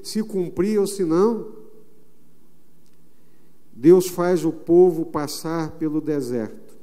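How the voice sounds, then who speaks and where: High-voiced Brazilian pastor preaching in a church hall. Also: male voice, dark tone. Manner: emphatic preaching with slow, drawn-out phrases. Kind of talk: sermon. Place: church sanctuary. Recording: long reverb of a church hall.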